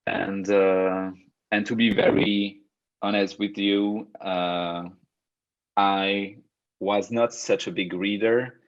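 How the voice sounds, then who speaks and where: Young Belgian man, French accent, deep voice, hesitant coach on a dull-sounding call. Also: level tone, slow. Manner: hesitant, many fillers and restarts. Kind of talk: hosting a video-call book club. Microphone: video call. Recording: dull lo-fi video call audio.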